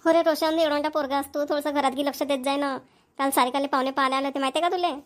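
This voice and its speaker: A woman's voice: high-pitched, fairly even intonation, very fast, natural voice. A woman playing a nagging village mother in a cartoon family comedy, with a Vidarbha Marathi accent. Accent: Maharashtrian, Vidarbha Marathi. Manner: nagging, scolding. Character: scolding village mother of bickering children.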